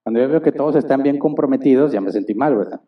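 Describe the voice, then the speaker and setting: Pastor preaching, distant and muffled. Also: male voice, mid-range voice, fast talker. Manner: asks the congregation rhetorical questions; acts out little dialogues. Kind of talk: sermon. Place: church hall. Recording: distant, dull church recording.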